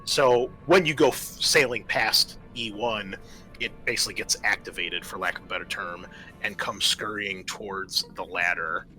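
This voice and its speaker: Bright-voiced man running a tabletop game. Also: fairly low pitch, normal speed, low-quality recording. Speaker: game master. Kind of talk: narrating a tabletop roleplaying game. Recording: music behind the voice. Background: music.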